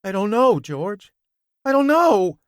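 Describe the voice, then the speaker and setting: A man voicing a frightened male talking monkey who speaks in a shrill, panicky rush. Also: very animated, slow pace, no room sound, studio quality, full character voice. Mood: whimpering.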